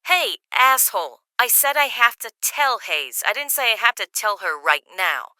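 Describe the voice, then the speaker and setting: Audio drama role: hard, terse female handler, played by a woman, exasperated, very bright forceful tone, animated pitch. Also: moderate pace, clear, resonant tone, no echo. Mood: exasperated, stern. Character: hard, exasperated female handler, foul-mouthed but reluctantly helpful.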